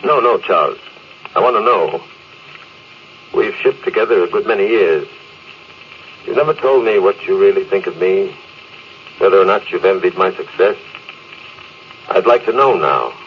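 Deep, proud space officer, muffled recording. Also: male voice, lively intonation, moderate pace, pauses often. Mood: demanding, irritated. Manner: commanding, self-important. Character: proud, ambitious, stoic career space officer, a father. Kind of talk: old-time radio drama acting. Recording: muffled old radio broadcast, faint hiss.

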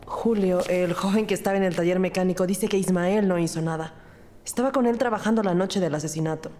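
A woman's voice: fairly low pitch, fairly quick, mellow, slight background noise, natural voice. An actress playing an observant investigator, narrating her case in the first person in a wry, matter-of-fact way. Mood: wry narration.

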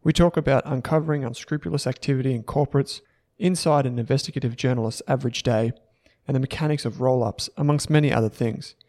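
A man's voice: medium pitch, fast, a little mellow. Australian man hosting a finance podcast. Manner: chatty, storytelling with fillers. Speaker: podcast host. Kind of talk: podcast interview with read ad.

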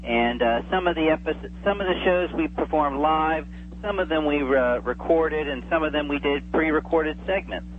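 A man's voice: a little high, moderate pace. A man chatting casually as an interview guest over the telephone, in a hesitant, stammering manner. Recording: thin phone line with hum.

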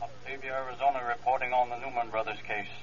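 Fast, flat male police dispatcher , acted. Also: mid-range voice, close to an everyday voice. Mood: clipped, official. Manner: clipped, impersonal. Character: clipped, official male police dispatcher reading reports. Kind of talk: 1930s radio acting. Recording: dull old radio recording.

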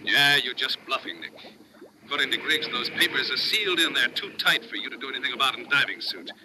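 A man's voice: medium pitch, brisk, background noise, natural voice. A man playing a villainous ship's skipper, taunting and menacing, in a 1950s radio drama.

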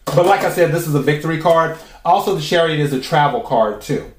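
Raspy man giving a chatty tarot reading. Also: fairly high pitch. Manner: chatty, muses aloud, drawn-out pauses. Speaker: tarot reader and podcast host. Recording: roomy echo.

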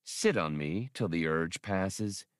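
A man's voice: sits low, expressive, takes it slowly, smooth, no room sound, studio quality. A man voicing a cocky, hard-nosed loner space captain in an audiobook, with a wry, blunt delivery.

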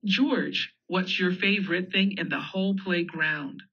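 A woman playing a gentle mother pig in a storybook, patiently explaining. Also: on the low side, a little slow, breathy edge, exaggerated voice. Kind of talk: storybook character voice. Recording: dull lo-fi audio.

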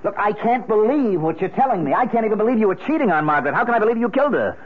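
An actor playing a loyal middle-aged police inspector in a 1970s radio drama, emphatic and full of disbelief. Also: male voice, sits high, lively intonation, racing. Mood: pained disbelief. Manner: emphatic, incredulous. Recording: muffled narrowband 1970s radio recording.

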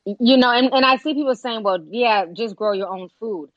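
An American woman hosting a casual chat, talking in an animated, rhetorical way with lots of "you know" and "like". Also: a little high, a little fast, no room sound, highs cut off. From United States.

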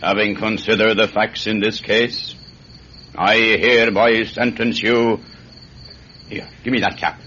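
An actor playing a stern, exasperated country judge, formal and skeptical, in a radio drama. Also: male voice, lowish voice, flat delivery, unhurried, pushed and bright. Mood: solemn. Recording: muffled, slightly noisy old-style radio recording.

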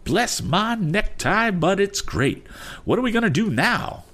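A man voicing an eccentric, excitable gentleman full of awe, exclaiming quaint oaths in a storybook reading. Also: high-pitched, a little slow, voice pushed up, full character voice. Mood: amazed delight. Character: eccentric, excitable, awestruck middle-aged gentleman passenger.

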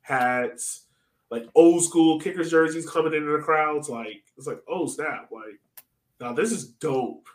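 A man's voice: a little high, a little slow, reverberant. A man hosting a podcast, chatting casually about sports in an informal, slangy way. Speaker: podcast host.

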